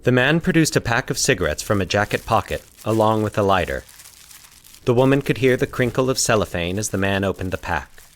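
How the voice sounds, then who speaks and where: A man's voice: lowish voice, lively intonation, fairly quick. A man reading scripted fiction as the narrator of a story podcast. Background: faint atmospheric sound effects.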